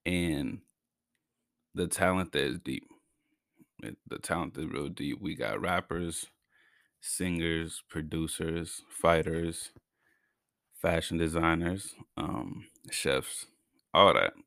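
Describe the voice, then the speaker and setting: A young man from Massachusetts hosts his own podcast, talking in a loose, slangy, laid-back way and stuttering now and then. His voice sits very deep with a gravelly fry. The mic is close and dry. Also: takes it slowly, long pauses, fairly bright tone, no room sound. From Brockton, Massachusetts. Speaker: podcast host. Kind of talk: casual solo podcast talk.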